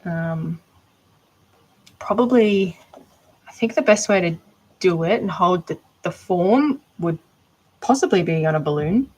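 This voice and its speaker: A rambling, chatty Australian woman with a slightly low voice, talking her thoughts through out loud as she works. She keeps the tone casual and conversational, like a crafter chatting to viewers on a live stream. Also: expressive, measured pace, pauses often, poor audio. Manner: chatty, rambling, thinking aloud. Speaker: art doll maker. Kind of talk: casual livestream chat while crafting.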